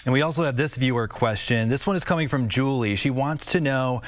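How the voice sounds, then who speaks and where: A man's voice: medium pitch, brisk. A male news anchor moderating a town hall from a TV studio, reading viewer questions conversationally.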